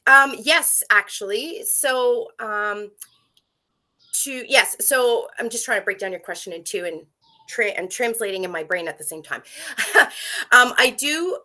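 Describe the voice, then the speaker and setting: A French-native woman talks as an interview guest, lively and eager, with plenty of fillers. Her voice is bright and sits a little high. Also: a little slow. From Canada. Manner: animated, talkative, with fillers and laughs. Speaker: guest, first aid instructor. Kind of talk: casual interview talk.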